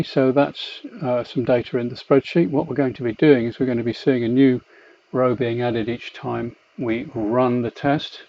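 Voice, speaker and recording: A man explaining a technical software demonstration in a methodical, hesitant way, pausing as he goes. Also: mid-range voice, no room sound. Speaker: company executive presenting a product tutorial. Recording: slightly dull, muffled lo-fi voice track.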